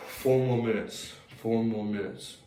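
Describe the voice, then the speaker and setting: Young American man, flat low scratchy voice. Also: measured pace. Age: young adult. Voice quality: slightly scratchy. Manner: rambling, hesitant, thinking aloud. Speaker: vlogger. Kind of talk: casual, unscripted personal reflection. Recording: roomy, distant mic, faint noise.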